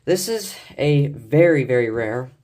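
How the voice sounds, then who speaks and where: A man's voice: medium pitch, lively intonation, measured pace, a little mellow. Casual, rambling enthusiast guy. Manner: rambling, enthusiastic, stumbles over words. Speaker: collector showing weather radios and scanners. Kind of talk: casual collection showcase vlog.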